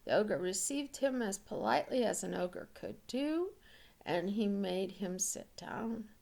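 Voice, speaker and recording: A woman reading a fairy tale aloud as an expressive storyteller. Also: mid-range voice, measured pace, vocal fry.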